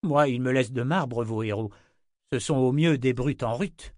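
A man's voice: medium pitch, moderate pace, a little mellow, no room sound, clean studio sound, close to an everyday voice. A man reading an audiobook, voicing a cold, contemptuous emperor who lectures in a condescending tone.

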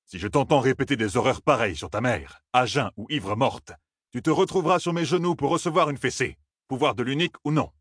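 A man voicing an older gleeman who speaks softly, patient and firm. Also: brisk, husky, dry recording, studio quality. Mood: stern. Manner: soft, low and soothing. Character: old gleeman, gentle, weary and firm.

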